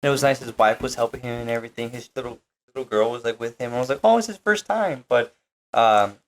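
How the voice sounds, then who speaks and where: A man's voice: medium pitch, fast talker. A young man chatting casually as a podcast co-host, often repeating himself and talking over others. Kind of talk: casual chat among friends.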